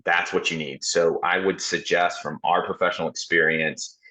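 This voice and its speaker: A man's voice: deep voice, steady tone, normal speed, sharp tone. A man speaking as a gym owner who leads a roundtable, giving business coaching on a group call. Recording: dull, muffled call audio.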